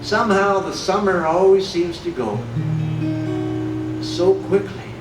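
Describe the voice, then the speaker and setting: A man's voice: sits high, fairly even intonation, slow. Man in an echoey room, far off. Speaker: singer performing for seniors. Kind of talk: live stage patter between songs. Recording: echoey room from afar, loud music.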